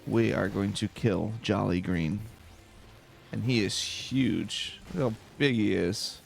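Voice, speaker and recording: A man with a fairly low, gravelly voice hosts a relaxed let's play. He talks casually, half to himself, as he plays, with faint music behind him. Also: slow pace. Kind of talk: calm, slow-paced gameplay commentary.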